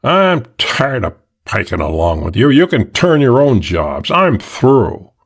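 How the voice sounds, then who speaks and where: A man's voice: mid-range voice, big pitch swings, takes it slowly, creaky, breathy edge, full character voice. A man reading an audiobook, voicing a sulky small-time male crook who sneers and whines. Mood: sneering. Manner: sneering, whining.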